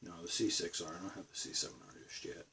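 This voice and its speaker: A man's voice: low-pitched, fairly even intonation, quick pace, bright, forceful tone, recorded from a distance, slight background noise. A man with a Boston accent rambling casually to his camera from his bedroom studio. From Massachusetts, USA. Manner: rambling, meandering talk. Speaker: vlogger.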